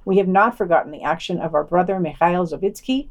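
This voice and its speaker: A woman's voice: lowish voice, fast talker. Woman reading podcast news with wry asides.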